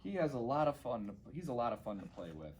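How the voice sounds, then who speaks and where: A man's voice: medium pitch, sing-song, fairly quick, a little mellow, slight background noise. A man reading a children's storybook aloud as a guest reader.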